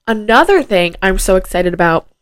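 A woman's voice: medium pitch, animated, normal speed, no echo, studio quality. A fifteen-year-old girl hosting her own podcast, chatting casually with lots of fillers, her voice nasal and stuffed up from a head cold. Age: teens. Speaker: podcast host. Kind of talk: casual solo podcast chat. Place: at home. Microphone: plugged into phone.

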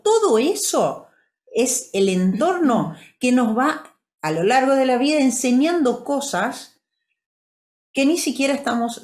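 A woman's voice: mid-range voice, darkish tone. A woman from Brazil whose first language is Portuguese co-hosts a podcast in Spanish. She teaches in a conversational way, setting up simple comparisons and checking in with rhetorical questions. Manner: didactic, asks rhetorical questions. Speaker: podcast co-host.

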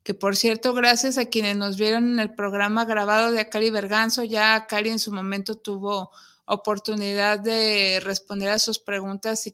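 Bright-voiced Mexican woman hosting a show. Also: mid-range voice. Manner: explanatory, poses rhetorical questions. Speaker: host of an interactive talk show. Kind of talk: conversational presenting to an audience.

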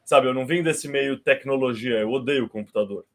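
Brazilian guy on a podcast, thirties, slow and explaining, keeps restarting words. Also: medium pitch, creaky. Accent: Brazilian Portuguese. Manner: unhurried, explanatory, with frequent restarts. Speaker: podcast guest. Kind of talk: casual conversation.

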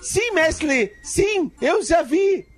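A man voicing an eager, naive young kung fu student with drawn-out, earnest replies in a comic radio joke. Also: very high voice, sing-song, faint music behind, voice pushed up, full character voice.

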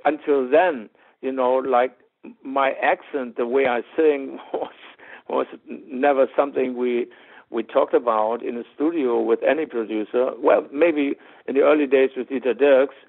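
A rock singer with a German accent speaks in a gravelly voice, rambling through his answers with lots of fillers and quick laughs. The sound is narrow and tinny, like a phone call. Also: mid-range voice, steady tone. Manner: rambling, many fillers and restarts, easy laughs. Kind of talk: interview answers. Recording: tinny phone-line audio.

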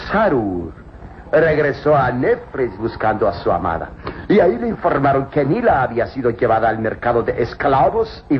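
A sneering villain with swooping delivery. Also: male voice, medium pitch. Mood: startled, scheming. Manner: mocking, gloating, with theatrical exclamations. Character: sneering, cruel male villain, arrogant tomb-robbing master of slaves. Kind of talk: old radio serial acting. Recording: muffled, noisy old radio drama recording.

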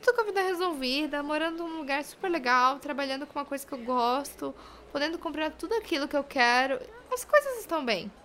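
Woman playing bubbly young professional, Brazilian, high-pitched, bright, self-questioning, audio drama, faint background noise. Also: animated, quick pace. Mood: self-reassuring. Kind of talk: audio drama dialogue and monologue.

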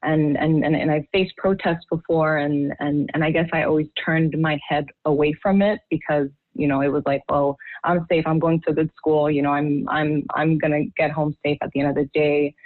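A woman's voice: low voice, no echo. A young woman from Haiti speaking as a podcast guest, candid and heartfelt, often restarting her sentences. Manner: heartfelt, halting with many restarts. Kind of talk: candid personal testimony. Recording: tinny phone-like line.

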